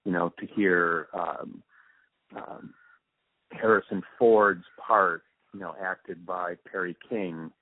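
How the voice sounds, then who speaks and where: An older man reflecting in an interview, speaking in a halting, thoughtful way with pauses mid-sentence. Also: low-pitched, fairly even intonation. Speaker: radio drama sound designer and producer being interviewed. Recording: muffled phone-like narrowband line.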